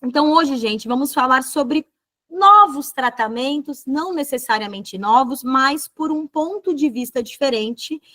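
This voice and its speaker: A woman's voice: high-pitched, expressive, unhurried, bright, forceful tone. A woman with a Brazilian Portuguese accent giving an online lecture as a dentist, explaining fluently and often checking in with tag questions.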